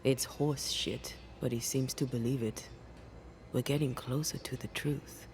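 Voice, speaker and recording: Hushed, creaky-voiced ghostly companion. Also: female voice, extremely low pitch, fairly even intonation, measured pace, background noise. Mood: blunt. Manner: hushed, confiding, blunt. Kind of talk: dramatic game cutscene acting. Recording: music clearly behind the voice.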